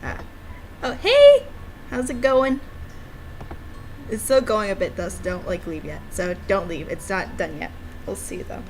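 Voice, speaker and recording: A woman chatting casually and excitably as she plays through a visual novel she made. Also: medium pitch, a little slow, pauses often, a little hiss or hum, low-quality recording. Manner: giggly, excitable, informal. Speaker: game developer streaming own visual novel. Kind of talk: casual playthrough chat, reading dialogue aloud.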